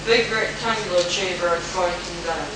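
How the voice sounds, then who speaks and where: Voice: medium pitch, normal speed. A narrator reading pulp adventure dramatically for a live radio play, stumbling over words now and then, in an echoey room. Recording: very echoey hall, far-off mic, clear noise.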